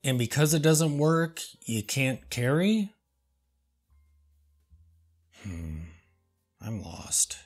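A man with an ordinary, middle-pitched voice talks very slowly and deliberately, landing each sarcastic aside with a dry, deadpan touch. Quiet lounge music plays softly under his voice. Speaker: host. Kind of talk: deadpan comedic commentary reading reviews.

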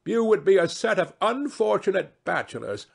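A man voicing a witty, imperious old aristocratic hostess in a novel reading, effusive, drawn-out and theatrical. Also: high-pitched, sing-song, gravelly, husky, pitched above the performer's own voice, full character voice. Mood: arch, teasing. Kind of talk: dramatised classic novel reading.

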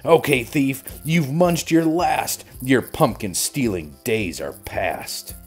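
A man's voice: mid-range voice, expressive, slow pace, faint music behind. A man voicing an overconfident papa bear detective from a storybook, boastful and emphatic. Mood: bold, triumphant.

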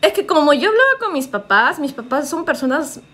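A woman's voice: high voice, very animated, fairly quick, fairly bright tone. A young woman from Japan chatting casually on a live stream in a small living room, laughing often and reading out viewer comments. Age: young adult.

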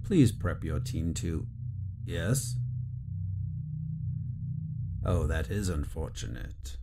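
A man's voice: deep voice, animated, slow, creaky, very noisy, heavily acted. An actor playing a cold, condescending corporate bureaucrat who gives clipped orders and interrogates his captive. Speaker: superior officer running an interrogation.

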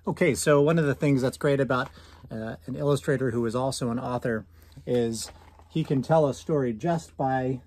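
An American man in his thirties reading a picture book aloud to children, commenting and asking questions with drawn-out emphasis on key words. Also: medium pitch, darkish tone, slight background noise. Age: 30s. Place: small indoor room at home.

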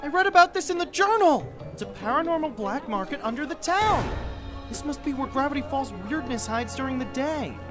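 A performer voicing an excitable, bossy, bookish preteen boy adventurer in a cartoon comic dub, easily exasperated. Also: high voice, fairly quick. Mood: excited. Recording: music behind the voice.

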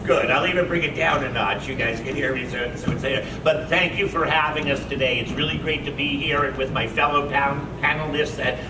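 A man in his fifties, a consumer protection director, speaking loudly and outgoingly without a mic at a panel. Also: medium pitch, steady tone, a little fast, few pauses, far from the mic. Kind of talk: panel talk to a live audience. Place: church hall. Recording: echoey hall from afar, hum.

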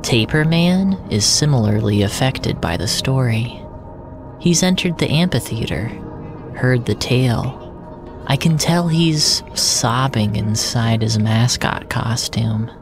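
A man narrating a scripted audio drama in a solemn, deliberate storytelling manner. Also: mid-range voice. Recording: echoey, with music underneath. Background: music.

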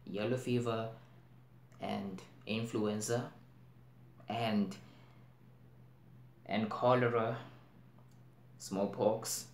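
A man acts out an anxious, brooding figure in a stage monologue. He drags out each phrase with heavy, deliberate pauses and emphatic swells. The room echoes around him, with faint noise underneath. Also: mid-range voice. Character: anxious, intense man brooding on global plagues. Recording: roomy echo, faint background noise.

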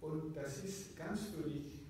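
A middle-aged man, not a native speaker, giving a formal presentation at a lectern, halting with many hesitations and restarts. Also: a little high, warm tone, a little hiss or hum. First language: not German. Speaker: guest speaker. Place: large lecture hall. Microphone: lectern mic, recorded from far in the audience. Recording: distant echoey hall recording.

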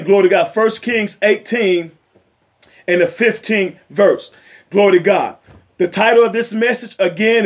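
A middle-aged male evangelist with a raspy voice, preaching fervently from a chair in his living room and sprinkling in amens and hallelujahs. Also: sits high. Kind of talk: home sermon and Bible study. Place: home living room, seated in a leather chair.

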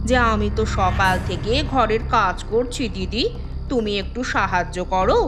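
A woman voicing a cunning, bossy elder sister-in-law in a cartoon, sharp-tongued and scolding. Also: mid-range voice, lightly acted. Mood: scheming.

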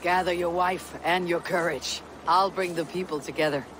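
Lady voicing a viking warrior jarl, measured and commanding, outdoor noise behind. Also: fairly low pitch, fairly even intonation, lightly acted. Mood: decisive. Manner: measured, stately. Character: steady, commanding female Viking warrior chieftain. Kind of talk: dramatic video game acting.

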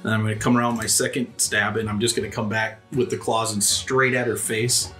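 A man's voice: on the low side, little pitch movement, a little fast, bright, forceful tone, faint music behind. A man playing in a tabletop game, casually chatting and describing his character's actions. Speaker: player in a tabletop game podcast.

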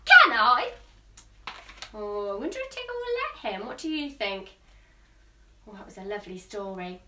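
A young woman reading a children's storybook aloud, expressive and sing-song, with asides to young listeners. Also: mid-range voice, a little fast, slight background noise. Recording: roomy echo, distant microphone.

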